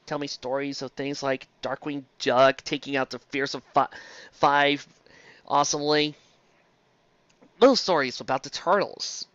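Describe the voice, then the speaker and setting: A woman's voice: a bass voice, steady tone, measured pace, no echo, lo-fi sound. A woman reviewer reading fan fiction aloud with comic asides, theatrical and exclamatory.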